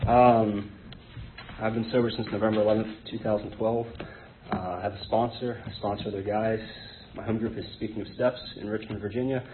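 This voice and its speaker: An American man in his thirties speaking informally and haltingly, giving a personal testimony to a group. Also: lowish voice, fairly even intonation. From born in Hong Kong to an English identity, raised in Connecticut, United States. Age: 30s. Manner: hesitant, halting, rambling. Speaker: guest speaker at an Alcoholics Anonymous meeting. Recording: tinny phone-like band, noisy background.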